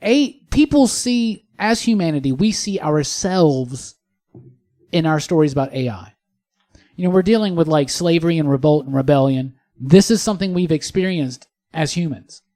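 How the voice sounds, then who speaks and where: A man's voice: a little high, expressive, normal speed, warm tone. A man co-hosting a casual panel discussion in a studio, musing earnestly and repeating phrases for emphasis. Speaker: podcast co-host. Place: podcast studio.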